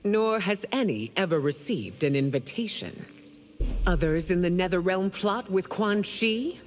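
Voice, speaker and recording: A proud warrior queen issues her words with stern, formal authority. Her pitch moves widely in a theatrical game-dialogue style, over faint background ambience. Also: lively intonation, unhurried, a little hiss or hum. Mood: stern, regal. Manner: regal, commanding, formal. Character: imperious middle-aged warrior empress, stern and proud. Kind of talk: dramatic video game dialogue.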